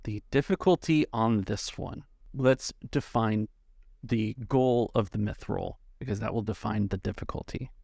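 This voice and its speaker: A man working as game master, narrating a tabletop game off the cuff in measured, halting phrases. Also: on the low side, creaky, a little mellow, no room sound, clean studio sound.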